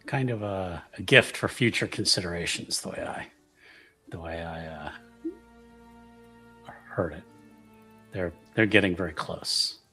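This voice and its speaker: A man's voice: low-pitched, unhurried, long pauses, pushed and bright, husky, faint music behind. A man playing a tabletop roleplaying game, casually narrating what his character does.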